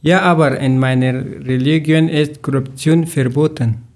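A man from Afghanistan co-hosting a casual podcast in careful learner German, speaking slowly and deliberately. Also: on the high side, steady tone, a little mellow. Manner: slow, careful phrasing. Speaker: podcast co-host.

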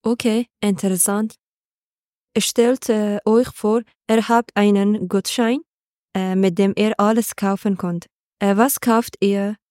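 A woman's voice: medium pitch, steady tone, slow, mellow, no echo, studio quality. A woman from Afghanistan, a non-native speaker, taking part in a prepared podcast discussion. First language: not German. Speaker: podcast participant.

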